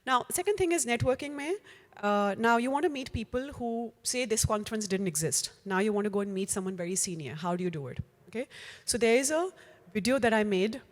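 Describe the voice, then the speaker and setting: A woman's voice: a little high, lively intonation, fairly quick, in a large hall. A woman with an Indian accent giving a live talk to an audience, direct and coaching, slipping into Hindi phrases. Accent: Indian, with Hindi mixed in. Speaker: workshop speaker. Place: large room before a live audience.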